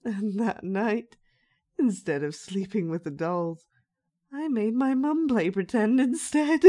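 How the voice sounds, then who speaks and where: A woman's voice: medium pitch, mellow. A woman playing an unhinged, sweetly sinister young dollmaker in a horror audio roleplay, with childlike, sing-song menace. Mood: eerie, brooding.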